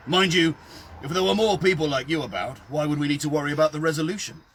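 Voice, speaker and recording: A man's voice: on the high side, quick pace, a bit bright, a little hiss or hum, pitched above the performer's own voice. A man voicing a wry, stoical older local in a story reading, folksy and emphatic in his encouragement. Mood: earnest, encouraging.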